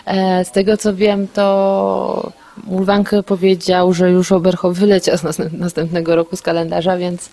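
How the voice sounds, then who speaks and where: A young woman athlete in a casual post-race interview, talking candidly and quickly, often restarting sentences and laughing lightly. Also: fairly low pitch, monotone, warm tone.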